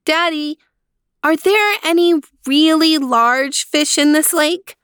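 A small, eager girl pipes up in a high, bright voice, as a woman reads her. The voice sits slightly off mic, and the room around it is dry. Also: takes it slowly, no echo. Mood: curious. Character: small excited young girl.

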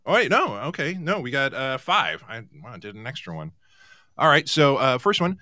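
A man's voice: mid-range voice, big pitch swings, moderate pace, sharp tone, dry recording, clean studio sound. A man hosting a casual radio show, bantering in a wry, joking way.

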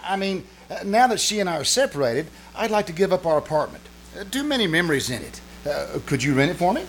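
A man's voice: sits high, very animated, fast, slight background noise, low-quality recording. A man playing an anxious, mild-mannered husband in an old-time radio comedy, hesitant, stammering and earnest.

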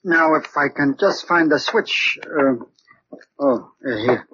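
An actor playing a mild, cautious, questioning sidekick in a 1940s radio drama. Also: male voice, a little high, slow pace, bright, forceful tone. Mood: casual. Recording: dull, noisy 1940s radio recording.

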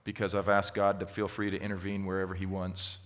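A man with a lowish voice speaks in a bright, forceful tone. He teaches a church group in a conversational, gently encouraging way. The recording carries the long reverb of a hall. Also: monotone, a little fast. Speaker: pastor leading a group session. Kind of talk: teaching and answering questions.

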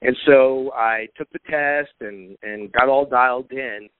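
A man being interviewed as a guest on a podcast, telling his story in a relaxed way with many fillers. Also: mid-range voice, unhurried, no echo. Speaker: podcast guest, marketing coach. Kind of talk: casual interview talk. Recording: tinny phone-like line, dry.

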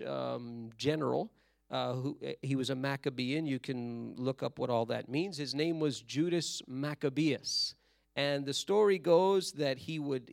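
A slightly hoarse man giving a sermon-style Bible history lesson, telling it in a measured, explanatory way and stretching key words. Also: mid-range voice, no echo, clean studio sound.

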